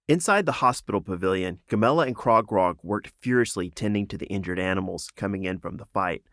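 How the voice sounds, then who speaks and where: Low-voiced man narrating fantasy, very dry sound. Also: fairly quick, fairly bright tone, no room sound. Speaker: author narrating own audiobook. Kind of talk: dramatic scripted fantasy narration.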